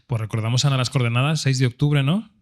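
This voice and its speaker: A man moderating a live panel, lively and joking as he prompts the audience. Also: medium pitch, fast talker. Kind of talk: live event hosting.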